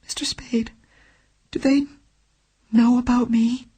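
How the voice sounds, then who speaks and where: A woman's voice: fairly high pitch, steady tone, takes it slowly, dark tone, breathy edge, voice pushed up. A woman reading an audiobook, voicing a frightened young woman who pleads for help, with a thin, tremulous, faltering voice that slips into whispers. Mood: pleading. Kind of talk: audiobook character voice.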